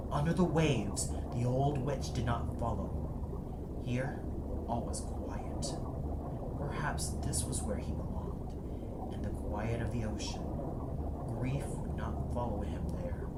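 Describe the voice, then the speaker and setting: A man narrating an audio play as a dramatic, scripted storyteller. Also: medium pitch. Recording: echoey, with noise behind. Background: ambient sound effects.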